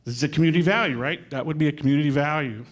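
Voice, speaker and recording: A man's voice: on the high side, rapid-fire. Conversational man addressing a crowd. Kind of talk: speaking at a public forum.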